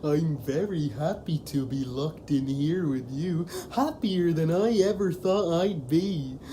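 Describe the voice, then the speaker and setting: A woman's voice: sits low, dark tone, slight background noise. A woman playing a gleefully sinister male pumpkin-headed demon villain in a comedy audio drama, theatrical and gloating.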